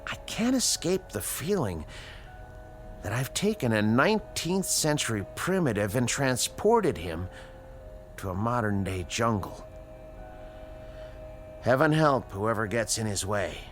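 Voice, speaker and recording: An actor playing an educated, uneasy scientist in a radio drama, with precise, formal diction and dramatic pauses. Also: male voice, mid-range voice, big pitch swings, soft background music. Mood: uneasy, grave. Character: educated, uneasy male scientist, inventor of a time machine. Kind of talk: radio drama acting, dialogue and dictated log narration.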